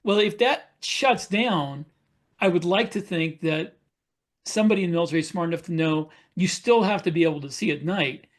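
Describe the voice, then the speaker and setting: High voiced guy on a podcast panel, kinda halting and rambly, casual talk.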